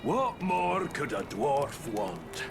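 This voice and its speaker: A weary dwarf, played by a man in a game cutscene, grumbling with dry, sighing sarcasm at a crawl. His pitch rises and falls widely. Game music plays behind. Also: sing-song, drawn-out words, gravelly, full character voice. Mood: sardonic. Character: weary male dwarf grumbling at dreary sights.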